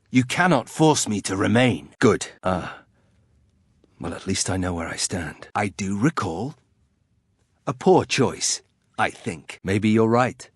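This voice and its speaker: A brooding young nobleman from a fantasy game, speaking in a low, slightly rough voice. He is formal, terse and bitter, with the pride of a fallen house. The recording is dry and close. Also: dry recording. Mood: bitter, resentful. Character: brooding, proud young nobleman turned reluctant warrior. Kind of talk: fantasy video game voice acting.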